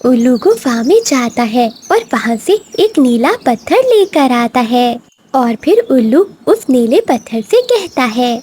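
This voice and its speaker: A woman's voice: high-pitched, sing-song, unhurried, mellow, slightly rough. A woman narrating a scripted cartoon moral story in storybook style.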